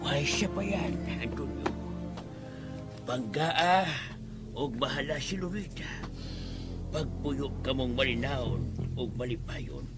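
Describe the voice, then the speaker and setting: A man playing a solemn, righteous elder healer in a horror radio drama, grave, deliberate and preaching. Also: medium pitch, expressive, over music. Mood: gentle farewell.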